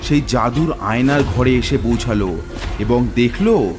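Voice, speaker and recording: A man's voice: medium pitch, a little slow. A man narrating a scripted cartoon horror tale as a dramatic storyteller. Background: background music.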